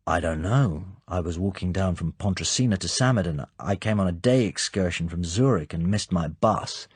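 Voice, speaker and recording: A weary, injured British agent, tired and understated, in a low husky voice. A man reads him close to the mic. The recording is dry. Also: expressive, moderate pace, no room sound. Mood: dazed.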